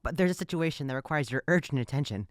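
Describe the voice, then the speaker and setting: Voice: mid-range voice, expressive, fast, dry recording, clean studio sound, natural voice. An actor in an audio drama playing an earnest, loyal royal retainer with a formal, declamatory manner. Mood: alarmed, urgent.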